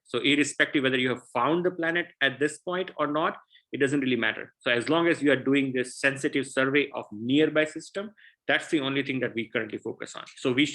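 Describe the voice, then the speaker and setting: A man with an Indian accent and a bright, forward voice answers questions as a guest scientist. He explains earnestly and often restarts his sentences. He sounds like he is speaking through a computer mic on a video call. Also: mid-range voice. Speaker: guest researcher.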